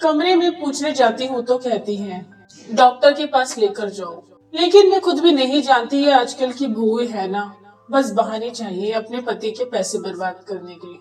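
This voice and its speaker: Woman as a high-voiced grumbling older neighbour. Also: expressive, moderate pace, darkish tone, distant mic, lightly acted, poor audio. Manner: grumbling, gossipy. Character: complaining older neighbour woman, mother-in-law.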